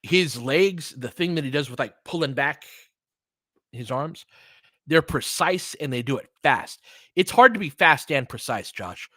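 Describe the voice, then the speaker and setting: A man's voice: a little high, expressive, unhurried, bright, forceful tone, no echo. A man doing casual live basketball commentary, switching between clipped play calls and conversational analysis of the game.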